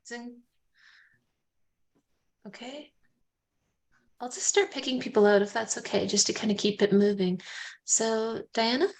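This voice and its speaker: A woman with a fairly high voice leads a relaxed online group chat. She guides people through introductions in an easy, unhurried way, heard over a video call. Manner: friendly, unhurried facilitating. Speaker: host.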